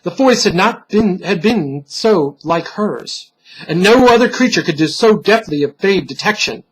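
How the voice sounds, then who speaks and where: A man giving a dramatic, solemn reading of a dark fantasy story, theatrical and deliberate, with pauses for effect and a husky voice. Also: sits high, animated, poor audio. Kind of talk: dramatic scripted narration.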